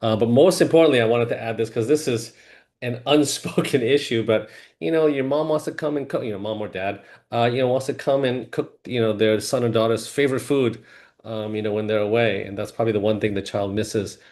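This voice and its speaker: A man's voice: mid-range voice, fairly quick, a little mellow. A middle-aged man hosting a video-call webinar, talking casually with many fillers and restarts. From Hong Kong family, grew up in Singapore. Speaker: webinar host, mortgage lender.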